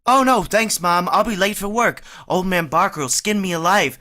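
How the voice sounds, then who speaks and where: A woman's voice: mid-range voice, normal speed, pushed and bright, lightly acted. A woman playing a timid, tongue-tied young man in a vintage-style radio play. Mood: bashful. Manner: stammering, timid.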